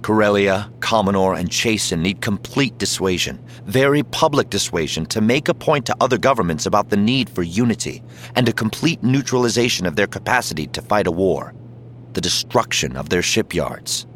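A man voicing a calm, determined Jedi commander in an audiobook, speaking with controlled, persuasive assurance. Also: lowish voice, moderate pace, a little hiss or hum.

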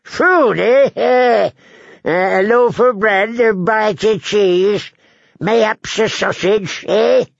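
A man's voice: high-pitched, extremely slow, creaky, no echo, muffled recording, voice pushed up, full character voice. A man voicing a querulous, leering, wrinkled old lord in an audiobook, drawing out his words and breaking them up with querulous grunts. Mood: slyly hospitable. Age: elderly. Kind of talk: audiobook character acting.

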